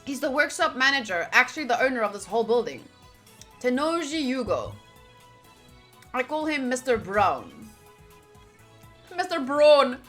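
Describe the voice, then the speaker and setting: Game streamer: woman, fairly high and bright, alternates read-aloud narration with informal chat. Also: sing-song, measured pace, long pauses, soft background music. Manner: informal, chatty asides. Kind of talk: reading game text aloud with casual chat.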